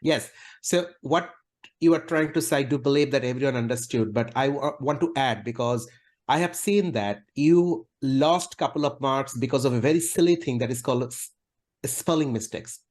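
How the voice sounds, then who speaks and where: Polite man interviewing at mid pitch. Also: moderate pace, a little mellow. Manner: polite, summarizing and wordy. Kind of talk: casual interview.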